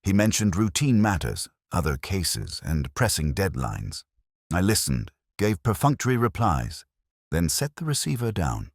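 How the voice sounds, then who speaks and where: Very deep-voiced man narrating a detective story. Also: big pitch swings, a little slow, no room sound, clean studio sound. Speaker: audiobook-style narrator. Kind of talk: scripted first-person detective story narration.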